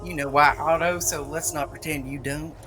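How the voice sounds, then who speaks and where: A woman's voice: low voice, fairly even intonation, moderate pace, heavy background noise, natural voice. A woman playing a sweetly menacing supernatural overseer of the dead in an audio drama, coaxing and mock-friendly. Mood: sternly menacing.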